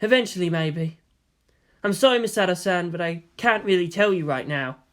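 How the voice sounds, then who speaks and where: A man voicing a gentle, polite teenage boy with an apologetic, resigned manner in a narrated story. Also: high voice, normal speed. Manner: soft-spoken, apologetic.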